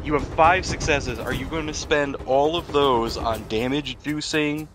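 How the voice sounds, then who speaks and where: A man's voice: fairly high pitch, fairly even intonation, normal speed. A man acting as game master in a tabletop role-playing game, joking and swearing freely. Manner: jokey, swearing, laughs often. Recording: music playing behind the voice. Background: music.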